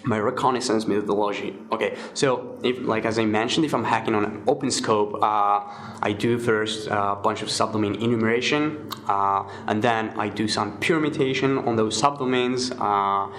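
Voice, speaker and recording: A man in his twenties from Morocco, answering interview questions in a relaxed way with many fillers and restarts. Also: fairly low pitch, normal speed. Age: 20s. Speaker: guest, security researcher.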